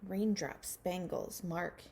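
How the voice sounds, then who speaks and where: Female early-years teacher, read-aloud for children. Unhurried, soft-edged tone with fry, slightly noisy recording. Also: lowish voice, fairly even intonation. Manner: addresses children directly with guiding questions.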